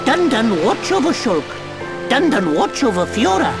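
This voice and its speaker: Tiny furry game critter, squeaky high, cheerful n boastful, broken grammar third person, music blaring behind. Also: female voice, big pitch swings, a little slow. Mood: earnest, wise. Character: small cheerful furry creature, boastful, fatherly, childlike speech. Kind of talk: video game cutscene voice acting.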